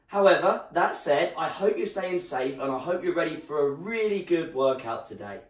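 A young man with a croaky voice, leading an online home workout as a fitness instructor and giving encouraging instructions while he moves. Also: fairly high pitch, expressive, normal speed. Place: living room at home. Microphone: far camera. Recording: roomy sound from far off.

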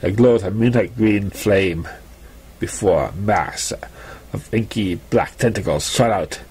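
A man reading a story aloud as an amateur narrator, with halting, stumbling delivery. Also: lowish voice, a little mellow, a little hiss or hum. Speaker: amateur fan fiction reader.

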